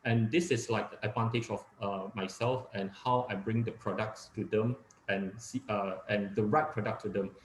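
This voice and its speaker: Low-voiced man in echoey room. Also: steady tone, a little hiss or hum. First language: Cantonese. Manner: halting, many fillers and restarts. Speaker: guest speaker, real estate agent. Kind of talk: casual webinar talk.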